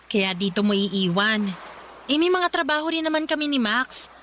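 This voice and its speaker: A stubborn, jealous Filipino girlfriend in a radio drama. Her voice is high and piercing, with big swings in pitch, and she challenges people with pointed questions. There is faint noise behind her. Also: lively intonation, moderate pace, fairly bright tone. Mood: indignant.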